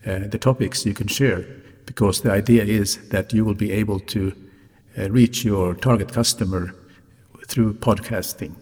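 Low, slightly raspy male podcast host, non-native English. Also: a little mellow. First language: not English. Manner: deliberate, careful articulation. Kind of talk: solo podcast narration. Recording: reverberant hall-like sound, music behind.

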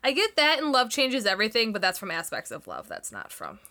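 A woman with a high, bright voice that swings up and down a lot as she talks. She sounds stuffy and sniffly, chatting and teasing in a loose, giggly way. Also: huge pitch swings, fairly quick. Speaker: podcast co-host. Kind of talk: casual chat.

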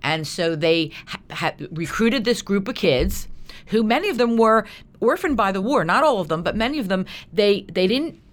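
A woman's voice: mid-range voice, expressive, moderate pace. A woman being interviewed as a guest, telling a historical story in a conversational, explanatory way with frequent asides.

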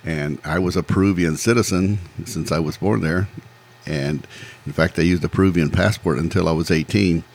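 A man in his sixties, from Peru, recounting his life in a measured, plainspoken way as a podcast guest. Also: low voice, gravelly, breathy edge. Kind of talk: casual interview storytelling.

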